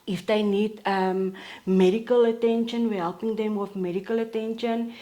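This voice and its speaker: South African woman, steady and unhurried. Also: medium pitch. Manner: steady, matter-of-fact, with frequent pauses and fillers. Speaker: podcast guest, counselor at a support organization. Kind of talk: casual interview talk.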